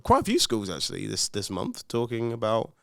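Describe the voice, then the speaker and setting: A man's voice: mid-range voice, huge pitch swings, a little fast, gravelly, a bit bright, no echo. A man, co-hosting a podcast in casual, teasing banter. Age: 40s. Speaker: podcast co-host. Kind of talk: casual chat. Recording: dry close studio sound.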